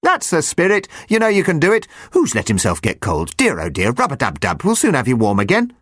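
A man voicing a relentlessly cheerful little male creature with a shrill, chirpy voice. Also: wildly swooping pitch, a little fast, fairly bright tone, husky, no room sound, studio quality, exaggerated voice.

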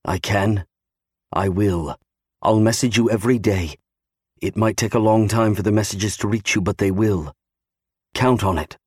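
A man's voice: lowish voice, normal speed, long pauses, a little mellow, slightly rough, no echo, clean studio sound. A man voicing a steadfast young navy serviceman, earnestly reassuring his wife in an audiobook. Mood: gentle, steadfast. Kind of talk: audiobook character acting.

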